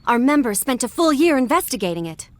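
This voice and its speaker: Female rebel, video game, highish voice, sarcastic, brusque, dry sound. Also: sing-song, quick pace, no echo. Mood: impatient, confident. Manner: curt, sardonic.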